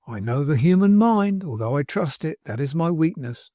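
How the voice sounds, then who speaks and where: A man voicing a pompous, self-righteous older gentleman who speaks in a plaintive, injured tone in a storybook reading. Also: on the high side, animated, moderate pace, dry recording, close to an everyday voice. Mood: sanctimonious.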